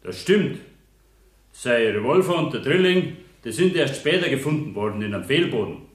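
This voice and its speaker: An actor playing a dutiful police sergeant testifying deliberately in a radio play. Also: male voice, mid-range voice, big pitch swings, close to an everyday voice. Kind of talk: German radio play courtroom acting. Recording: echoey, distant radio drama studio.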